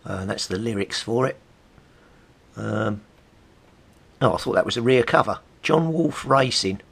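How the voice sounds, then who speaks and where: A middle-aged Englishman with a lowish, creaky and husky voice. He gives a casual, chatty commentary, reading passages aloud and adding wry asides in an English accent. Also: lively intonation, measured pace, fairly bright tone. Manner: chatty, wryly amused asides. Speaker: vlogger, CB radio hobbyist. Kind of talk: casual commentary while leafing through old magazines, reading bits aloud.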